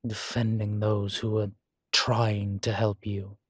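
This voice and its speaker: A man playing a guilt-ridden, gentle and wry ancient vampire in an intimate audio roleplay, speaking in a halting, reflective way. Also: fairly low pitch, flat delivery, no echo, clean studio sound. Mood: earnest, defensive. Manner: halting, reflective, with sighs and soft chuckles. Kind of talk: intimate one-sided audio roleplay acting.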